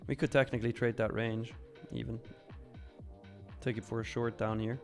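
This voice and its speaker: A man's voice: mid-range voice, little pitch movement, moderate pace, gravelly, a little mellow, soft background music. A man from Switzerland hosting a casual, frank livestream, answering viewers and commenting on trades in an informal way with repeated phrases. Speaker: live trading stream host.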